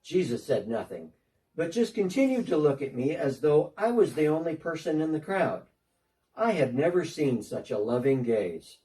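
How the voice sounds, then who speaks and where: Short, earnest tax collector recounting his change of heart , played by a man, lively up-and-down delivery, mellow tone, miked from a distance. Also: on the high side, moderate pace. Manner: earnest storytelling, talking as if on a phone call. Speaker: dramatic reader. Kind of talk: first-person dramatic monologue.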